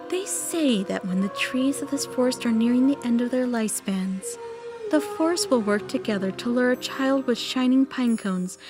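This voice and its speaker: Woman as a high-voiced, mysterious forest storyteller over music. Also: natural voice. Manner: theatrical, hushed, suspenseful delivery. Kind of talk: dramatic oral storytelling in an audio play.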